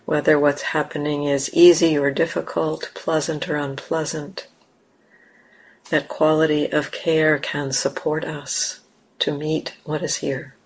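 A woman meditation teacher gently guiding a meditation, unhurried, with long pauses between short phrases. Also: sits low, level tone, breathy edge, poor audio. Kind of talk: guided meditation. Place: meditation center.

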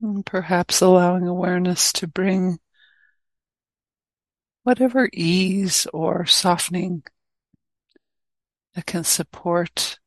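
A woman teacher leading a guided meditation, speaking in short, gently inviting phrases with pauses between them. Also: on the low side, fairly even intonation, no room sound, rough recording.

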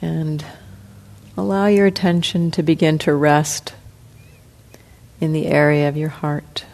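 Woman meditation teacher, deep warm voice, very slow gentle delivery. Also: vocal fry. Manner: slow, soft, calm, with long pauses. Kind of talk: guided meditation.

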